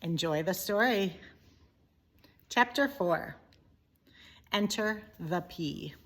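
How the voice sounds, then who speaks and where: A woman reading a children's story aloud in an expressive, deliberate way with dramatic pauses. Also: on the low side. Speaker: teacher reading a book aloud.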